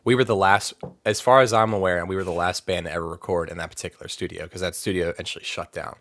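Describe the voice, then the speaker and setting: A man's voice: low-pitched, fairly even intonation, quick pace, a bit bright, dry recording. A man talking as a podcast guest in easygoing chat, rambling with fillers and restarts. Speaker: band vocalist, podcast guest. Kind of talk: casual interview chat.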